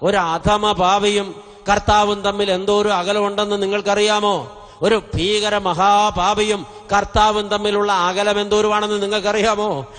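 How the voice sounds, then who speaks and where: Middle aged priest guy preaching hard, really high voice, repeats phrases for punch, big echoey hall pa. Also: flat delivery, normal speed, a bit bright. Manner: impassioned, exhorting, emphatic with repeated phrases. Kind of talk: fervent revival sermon. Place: convention stage at a podium. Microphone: stand microphone close to mouth. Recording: reverberant hall sound system.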